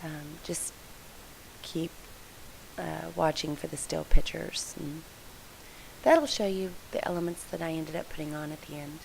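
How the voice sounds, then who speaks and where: A woman with a low, froggy, gravelly voice, congested and hoarse from allergies. She chats loosely and apologetically, narrating a craft project over a recording with a faint hiss. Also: fairly even intonation, unhurried, pauses often, darkish tone, low-quality recording. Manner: chatty, rambling, apologetic. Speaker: scrapbooking video host. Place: home scrapbook room.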